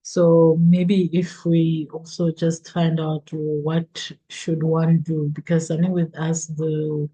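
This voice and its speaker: Husky, mellow South African woman moderating. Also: low voice, level tone, slow, few pauses, rough recording. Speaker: book club host. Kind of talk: moderating a group discussion.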